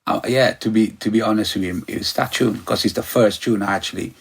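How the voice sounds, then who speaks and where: A man's voice: fairly low pitch, steady tone, fairly quick, breathy edge. A middle-aged man from Ghana, a guest talking in a loose, rambling way, often saying "you know". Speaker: sound system operator, guest. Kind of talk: relaxed interview chat.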